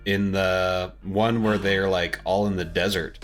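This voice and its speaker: Man, slightly low voice, informal podcast co-host, enthusiastic, casual chat, soft music underneath. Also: little pitch movement, a little slow. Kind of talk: casual chat about films and games.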